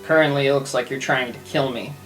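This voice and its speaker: A man playing a laconic, guarded mercenary assassin in a tabletop game, with a deadpan manner. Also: medium pitch, quick pace, soft background music, lightly acted. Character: laconic mercenary assassin who enjoys killing.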